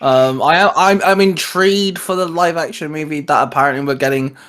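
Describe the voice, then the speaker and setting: Man, fairly high voice, casual fan commentary, rambling, jokey, informal co-host. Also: fairly quick, fairly bright tone. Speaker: co-host of a cartoon fan channel.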